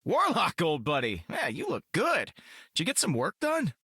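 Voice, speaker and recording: An actor voicing a laid-back, teasing space adventurer for a video game, breezy and jokey. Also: male voice, on the high side, huge pitch swings, normal speed, bright, forceful tone, husky, no echo, clean studio sound, heavily acted. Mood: joking.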